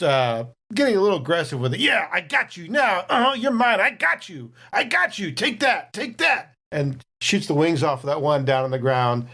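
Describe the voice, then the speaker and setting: A man playing a tabletop roleplaying game, casually describing what his character does. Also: on the high side.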